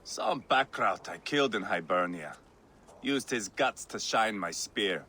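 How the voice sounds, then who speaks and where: An actor playing a brash, bloodthirsty, mocking Viking warrior with a theatrical, boastful manner in a video game cutscene. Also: male voice, on the low side, animated, unhurried, pushed and bright, a little hiss or hum. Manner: theatrical, boastful, swinging between teasing and menace.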